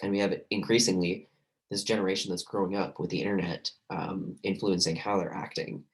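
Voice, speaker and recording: A man lecturing on a recorded class, hoarse and losing his voice, talking loosely with many fillers and restarts. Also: deep voice, flat delivery, brisk, poor audio. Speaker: university lecturer.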